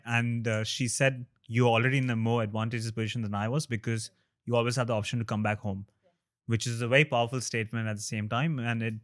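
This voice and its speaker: A man hosting a podcast interview, asking measured, reflective questions. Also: medium pitch, a bit bright. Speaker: podcast host.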